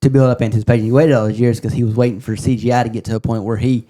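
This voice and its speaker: Male podcast co-host, soft warm tone, average pitch, conversational pop-culture banter. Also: level tone, rapid-fire. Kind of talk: casual pop-culture chat.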